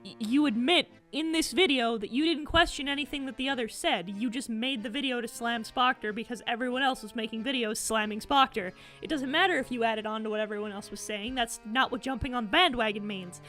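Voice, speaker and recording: Female commentary host, high voice, blunt and sarcastic, swears freely, music faintly behind. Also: a little fast, hardly any pauses, a bit bright.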